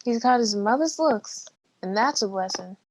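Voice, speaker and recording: A woman's voice: fairly high pitch, lively intonation, moderate pace, no room sound. A woman with an American accent playing a dry, folksy small-town woman in an amateur play, on lo-fi video call audio. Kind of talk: amateur stage-play acting.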